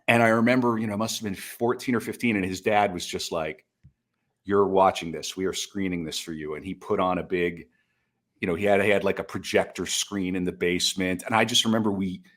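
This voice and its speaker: Middle aged american guy w a lowish voice, rambly excited podcast storytelling. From North Dakota, United States. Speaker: podcast speaker. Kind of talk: casual conversational storytelling.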